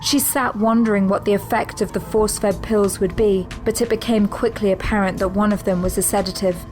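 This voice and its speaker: A woman narrates an audio drama in a scripted, dramatic style. Her pitch is medium. Music is clearly heard behind her voice. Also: steady tone, brisk, darkish tone.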